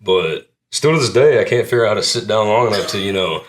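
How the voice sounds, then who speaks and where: A man, a country singer answering interview questions in a relaxed, modest way. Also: fast talker, slight background noise. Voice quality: deep voice. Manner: laid-back, modest, lots of "you know" and "kinda".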